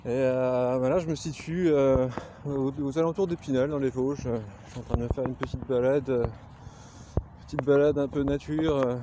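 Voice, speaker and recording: A man with a Lorraine accent talks casually while walking outdoors, pausing often to find his words. His voice sits at an ordinary middle pitch. Steady outdoor noise and passing vehicles run behind him. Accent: Lorraine French. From Lorraine, France. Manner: rambling, frequent hesitations. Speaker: glassblower vlogger. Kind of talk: casual talk to camera. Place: outdoors on a countryside walk.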